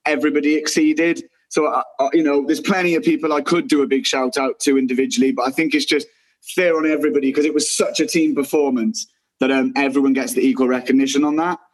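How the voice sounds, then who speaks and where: A man's voice: mid-range voice, steady tone, fast talker, rough recording. A man, a cast member, chatting earnestly and gratefully, often restarting his sentences. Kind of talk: casual reunion chat.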